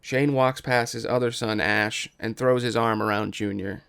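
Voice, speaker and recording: A man's voice: fairly low pitch, steady tone, normal speed, gravelly, a bit bright, smooth. A man narrating a scripted story as the reader of a table read.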